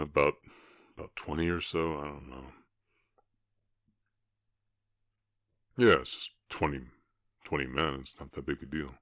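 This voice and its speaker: A man voicing a gruff but tender half-orc cowboy in an ASMR roleplay, ashamed and protective, speaking haltingly with feeling and often dropping to a murmur. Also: rumbling low, a bit bright, no room sound, studio quality, heavily acted. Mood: gruff, defensive.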